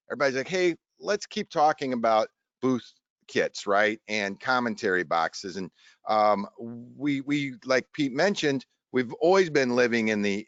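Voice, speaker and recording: Man hosting, halting, dull dry sound. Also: medium pitch, a bit bright, no room sound. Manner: halting, rambling delivery. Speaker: host of a show tech webinar. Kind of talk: casual tech discussion. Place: online video call. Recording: dull, lo-fi online stream audio.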